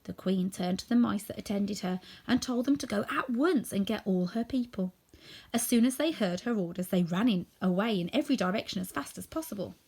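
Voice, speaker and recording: Husky, very animated woman reading a story. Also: medium pitch, a little fast. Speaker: storybook reader. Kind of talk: animated children's story narration.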